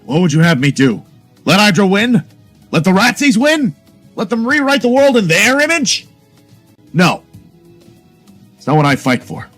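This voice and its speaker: An actor playing an earnest, defiant American super-soldier hero in an audio drama, talking in a plain-spoken way. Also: male voice, high voice, very animated, moderate pace, pauses often, a bit bright, faint music behind, heavily acted. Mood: impassioned defiance.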